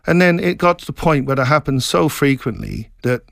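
A man's voice: mid-range voice, expressive, vocal fry, no room sound, clean studio sound. Reflective middle-aged man from Scotland. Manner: reflective, meandering, halting with many restarts. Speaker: author, interview guest. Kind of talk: casual interview chat.

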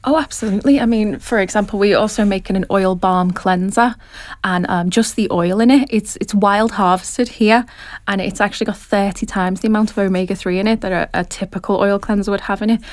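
A woman with a Liverpool accent in her thirties, talking about her business in an eager, chatty way and laughing easily. Her voice sits in a middle pitch and is recorded close and dry, with no room around it. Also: steady tone, fairly quick, dry recording. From Liverpool, England. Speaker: skincare business founder. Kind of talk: interview answers.

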